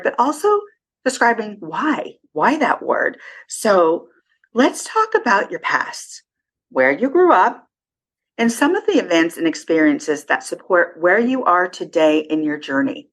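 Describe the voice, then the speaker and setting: A woman's voice: fairly low pitch, big pitch swings, unhurried, fairly bright tone. A woman hosting a podcast interview, prompting her guest with encouraging questions. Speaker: podcast host.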